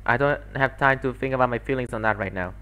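A man's voice: mid-range voice, fast talker, sharp tone, clear, resonant tone, pitched below the performer's own voice, lightly acted. A man voicing an anxious, tired, tender-hearted girl heroine with a hesitant manner, in an amateur visual novel fandub. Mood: resolute.

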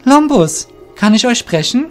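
Young male apprentice played by a man: high voice, anxious and earnest, fantasy audio drama. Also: sing-song, measured pace, soft background music, exaggerated voice. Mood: anxious, troubled.

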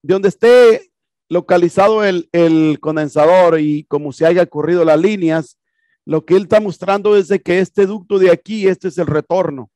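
A man's voice: high-pitched, normal speed, darkish tone, no room sound. A man teaching an HVAC class in a classroom, explaining casually and repeating himself with rhetorical questions. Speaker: HVAC instructor. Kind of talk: classroom lecture explaining a projected video. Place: classroom with projector screen.

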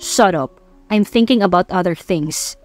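A woman's voice: on the low side, a little slow, a little mellow, lightly acted. A woman with a Filipino accent playing a troubled, moody young single father in an audiobook story. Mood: brooding. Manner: moody, mixes English and Tagalog. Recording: background music under voice.

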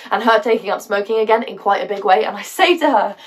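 A woman acting a theatrical monologue with a British accent as a bitter, wounded woman, sardonic, halting and emotionally volatile. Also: medium pitch, recorded from a distance, natural voice. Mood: bitterly wry. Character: bitter, wounded woman confiding a painful story.

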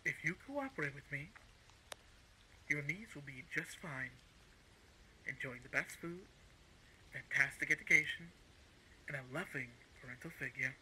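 An actor playing a smug, menacing male crime boss in an audio roleplay, speaking in a mocking, condescending and deliberate way. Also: a little high, lively intonation, sharp tone, a little hiss or hum, heavily acted, poor audio. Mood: coolly menacing. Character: smug, menacing male crime-organization villain, coolly coercive. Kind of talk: scripted audio roleplay villain acting.

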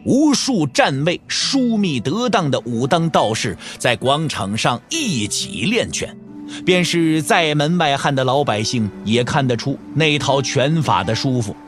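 A man narrating an audio drama from a script in an expressive storytelling manner. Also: mid-range voice, measured pace, soft background music.

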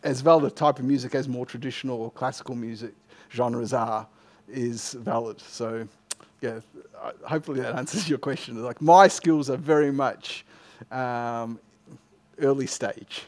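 A man's voice: medium pitch, moderate pace, a little mellow. A middle-aged man giving an informal tech talk and answering questions, self-deprecating and often rephrasing mid-sentence. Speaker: conference speaker. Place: indoor lecture room, on stage at a lectern. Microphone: headset microphone.

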